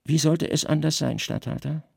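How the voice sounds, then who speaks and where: A man playing a grave, calculating Roman secret service chief who speaks calmly, deliberately and deadpan in a literary radio drama. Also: on the high side, gravelly, husky, no echo, studio quality, voice pushed up, heavily acted.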